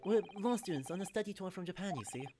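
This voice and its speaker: A woman's voice: on the low side, animated, fast talker, noisy background, lightly acted. A woman voicing a polite, hesitant young male law student in a game, earnest and apologetic.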